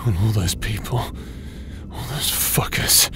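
A man acting as a bitter, broken warrior in a dramatic audio roleplay, raw and halting in his delivery. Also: fairly low pitch, gravelly, pushed and bright, faint music behind, exaggerated voice. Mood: resigned. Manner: anguished, halting, emotionally raw.

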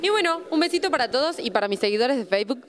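A young Argentine woman hosting a TV show in a bar, chatting warmly with guests into a handheld mic. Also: high voice, expressive, racing. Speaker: TV host. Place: bar with live music. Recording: loud live music behind.

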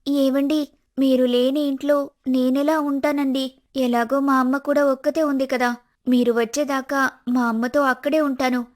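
A woman voicing a polite but self-willed young daughter-in-law in an animated family story, pleading and insistent. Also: high voice, normal speed, voice pushed up, natural voice. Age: young adult.

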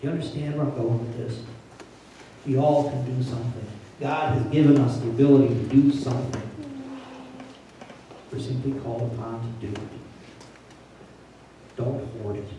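A man's voice: medium pitch, steady tone, moderate pace, long pauses, dark tone, a little hiss or hum. An older man preaching a sermon from the front of a church on a handheld mic, plainspoken and conversational, rising to urgent emphasis. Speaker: pastor. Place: large church sanctuary. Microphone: handheld mic, filmed from a distant camera. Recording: very echoey church from far off.

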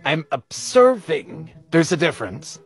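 A man playing an awkward, nerdy, defensive bug watcher who talks in a halting mutter. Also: on the high side, sing-song, faint music behind.

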